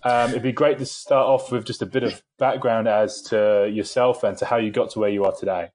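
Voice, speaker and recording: A man's voice: on the low side, steady tone, fairly quick, a little mellow. A man hosting a football analysis podcast, introducing his guest and asking loosely phrased, polite questions with some fillers. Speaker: podcast host. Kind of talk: podcast interviewing.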